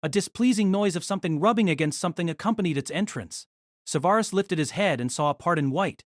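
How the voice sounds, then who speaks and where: A man's voice: sits high, quick pace, no room sound, studio quality. A man narrating a fantasy novel as a dramatic, scripted audio drama.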